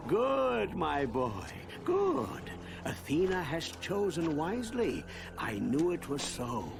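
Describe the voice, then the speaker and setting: An actor playing a cryptic, knowing old gravedigger in a video game. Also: male voice, on the high side, huge pitch swings, slow pace, gravelly, music in the background, heavily acted. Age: older.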